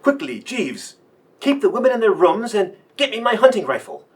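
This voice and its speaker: A woman playing a commanding, aristocratic lord of the manor in a radio mystery, giving brisk orders. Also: mid-range voice, big pitch swings, distant mic, close to an everyday voice. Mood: commanding, urgent. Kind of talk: radio play acting.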